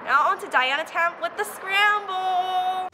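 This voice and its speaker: A woman's voice: extremely high pitch, unhurried, music in the background. A teenage girl hosting a student news show outdoors, reading school announcements in an upbeat manner. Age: teens.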